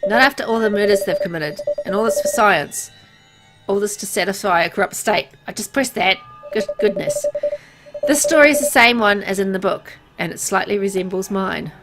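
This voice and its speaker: Woman, gameplay narrator, expressive read-aloud, medium pitch, loud music behind. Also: moderate pace, rough recording. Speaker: gameplay narrator reading a visual novel aloud. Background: loud game music.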